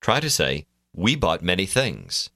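A man narrating a language course, calmly prompting the learner to repeat phrases. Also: low-pitched, slow pace, creaky, no room sound, clean studio sound.

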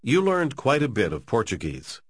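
A man narrating a scripted language lesson in a clear, measured way, recorded on a studio mic. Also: mid-range voice, huge pitch swings, no room sound. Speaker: course narrator.

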